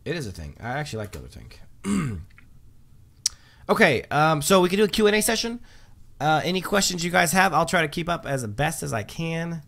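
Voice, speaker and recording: A man's voice: a little high, big pitch swings, brisk, vocal fry, a bit bright. Chatty man rambling through patch notes. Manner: chatty, rambling, many um fillers and restarts. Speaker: livestream host. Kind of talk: casual livestream chat, reading patch notes.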